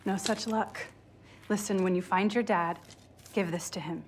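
Actress as steady resistance woman, medium pitch. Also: normal speed, slight background noise, close to an everyday voice. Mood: relieved. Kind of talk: video game cutscene acting.

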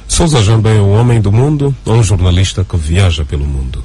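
Deep, husky radio interviewer. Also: male voice, mellow. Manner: measured, searching questions. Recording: radio broadcast recording.

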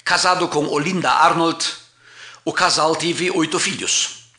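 A pastor narrates a documentary history in a measured, formal reading voice. His tone is bright and forceful, pitched a little high for a man. The room adds a clear echo.

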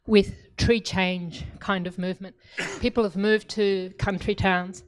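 Australian woman asking a hesitant question. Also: mid-range voice. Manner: hesitant, with frequent ums. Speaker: audience member asking a question. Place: crowded bookstore audience. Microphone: handheld mic.

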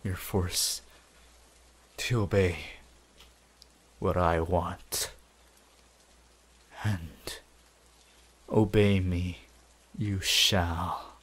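A man's voice: low-pitched, fairly even intonation, husky, heavily acted. A man voicing a possessive, gloating young anime villain in a roleplay, menacing and megalomaniac. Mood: commanding, fierce. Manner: slow, menacing, swelling from whispers to shouts.

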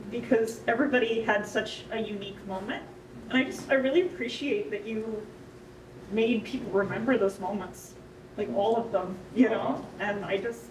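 Sincere woman, distant in an echoey, noisy room. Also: mid-range voice. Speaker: guest, project interviewer. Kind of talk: informal heartfelt remarks. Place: indoor rehearsal hall, on stage.

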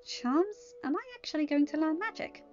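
A blunt, sarcastic teenage girl of magic powers as read by a woman in an audio story. Her voice is very high and irritated, on a dull lo-fi recording with music behind it. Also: lively intonation, normal speed. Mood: polite but irritated.

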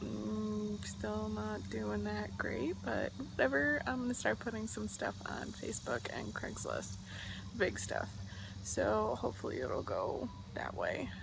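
A woman's voice: medium pitch, big pitch swings, a little slow, gravelly. A woman in her twenties chatting candidly into a phone held at arm's length, thinking aloud as she talks about her plans. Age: 20s. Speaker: vlogger. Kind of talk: casual selfie vlog chat. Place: outdoors on a windy lakeside pier. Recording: loud wind noise on the phone mic.

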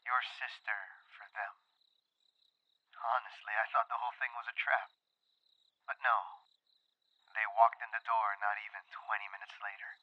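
A man playing a smug, menacing businessman villain in an audio roleplay, mock-polite and deliberate, heard through a phone. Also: lowish voice, little pitch movement, slight background noise. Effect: phone call filter. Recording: dull phone-call sound.

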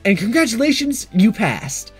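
Casting: male player voicing a peppy anime schoolgirl, teasing and sarcastic, lively high delivery, music underneath. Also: normal speed, slightly rough, exaggerated voice. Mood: playful teasing. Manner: playful, sarcastic, emphatic. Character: bubbly, teasing high-school girl in anime visual novel.

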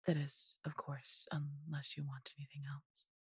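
A woman voicing a large, possessive but doting female dragon in an intimate fantasy audio roleplay, coaxing and teasing in a breathy, husky voice. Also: low voice, flat delivery, moderate pace, no room sound, clean studio sound.

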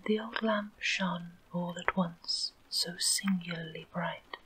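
A woman narrates a fairy tale in a steady, unhurried whisper, her voice sitting on the low side. A faint hiss runs under the recording. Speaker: storyteller.

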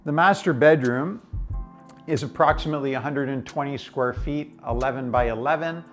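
A man's voice: mid-range voice, moderate pace, faint music behind. A man in his forties giving an enthusiastic, informal walk-through presentation, explaining things as he goes. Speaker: presenter, house tour guide. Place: furnished house interiors and outdoor deck.

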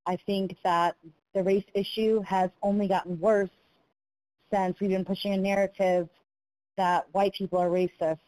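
American female viewer leaving an opinion message, mid-range pitch, very dry sound. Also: level tone, moderate pace, a little mellow, no room sound, low-quality recording. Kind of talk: opinion monologue.

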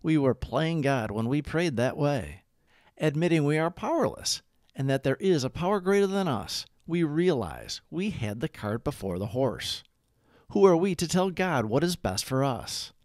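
A man narrating a scripted daily recovery reflection as a devotional read-aloud, with measured, emphatic phrasing. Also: a little high, big pitch swings, darkish tone, no room sound, clean studio sound.

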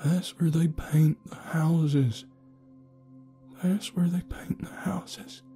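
A man voicing a sickly, desperate bearded father in an audio story, slow and strained, pleading like one half crazed. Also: medium pitch, steady tone, dark tone, faint music behind, pitched above the performer's own voice, lightly acted. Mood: eerie whisper. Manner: slow, drawn-out, desperate cries and chants.

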